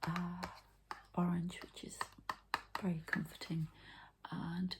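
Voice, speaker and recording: A woman giving an ASMR energy healing session, softly and haltingly talking through each step as she goes. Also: lowish voice, monotone, very noisy. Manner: soft, halting, murmured commentary on each step. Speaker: ASMR energy healer and channeler.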